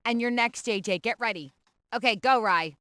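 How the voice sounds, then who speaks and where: A woman's voice: a little high, normal speed, sharp tone, no echo, clean studio sound. A woman playing a mother on a family video call, exasperated and laughing.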